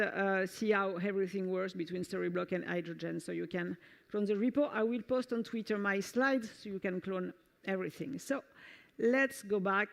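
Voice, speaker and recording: A woman's voice: medium pitch, creaky. French-accented woman giving a tech talk. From France. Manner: enthusiastic, frequent fillers and restarts. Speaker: conference speaker. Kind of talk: technical conference talk with live demo. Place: conference stage before an audience.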